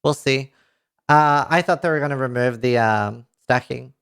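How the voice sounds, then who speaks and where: A man in his thirties with a Norwegian accent reads patch notes aloud to a live chat, reacting with excited outbursts and casual swearing, into a headset mic. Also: medium pitch, normal speed, vocal fry, clean tone. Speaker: streamer. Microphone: headset mic at a desk.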